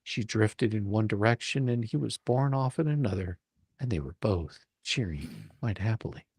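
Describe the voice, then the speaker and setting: A man narrating a story as a dramatic reading, with a playful, expressive, theatrical manner. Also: lowish voice, normal speed, gravelly, breathy edge, dry recording. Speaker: reader and host of a live dramatic reading.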